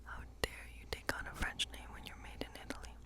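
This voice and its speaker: A woman performing a whispered ASMR role play as a whimsical witch reading tarot, musing softly in a breathy, unhurried whisper.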